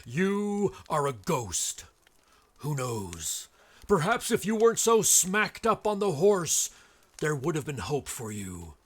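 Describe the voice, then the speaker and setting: A man playing a stern, righteous resistance leader in an audio drama, grandiose and theatrical with deliberate pauses. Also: fairly high pitch, wildly swooping pitch, a bit bright, husky, heavily acted. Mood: stern, merciless. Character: stern, righteous doctor leading common-sense resistance.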